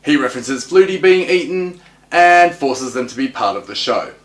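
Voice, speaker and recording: A man's voice: medium pitch, expressive, normal speed. Man giving wry commentary in an echoey room. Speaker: host of a TV episode analysis show. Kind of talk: commentary and episode recap. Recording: roomy, echoing voice recording.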